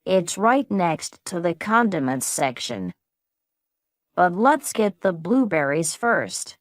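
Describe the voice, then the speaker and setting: A woman reading a friendly everyday speaker in a slow, carefully spaced practice dialogue for English learners. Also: on the low side, sing-song, no room sound, clean studio sound. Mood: plain.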